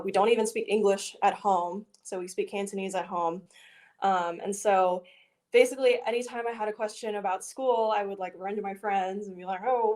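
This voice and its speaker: A woman's voice: medium pitch, darkish tone. Chatty young woman with many ums. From Richmond, Virginia, USA. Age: young adult. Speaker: guest, PhD candidate. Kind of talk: casual interview answers.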